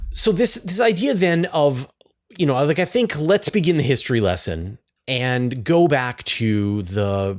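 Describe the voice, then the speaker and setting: A man hosting a conversational interview, informal and thinking aloud as he rephrases. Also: fairly low pitch. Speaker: interview host, space journalist.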